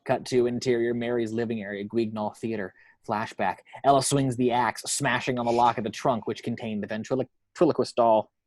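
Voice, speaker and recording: A man narrates the scene directions of a film script. His voice sits at an average pitch, and the sound is dry, without room echo. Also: fairly even intonation, moderate pace, a little mellow. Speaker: reader of action descriptions. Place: at home.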